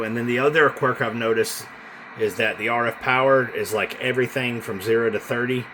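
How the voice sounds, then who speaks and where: A man casually demonstrating a radio he is selling, talking in an informal, halting, hands-on way. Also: fairly low pitch. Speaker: CB radio seller. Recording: noisy room with radio hiss.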